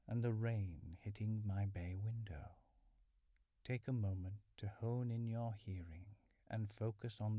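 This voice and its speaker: A man leading a calm guided mindfulness meditation in a soothing, measured, instructional way, with soft breathy phrase endings. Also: fairly low pitch, no room sound. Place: small furnished room at home.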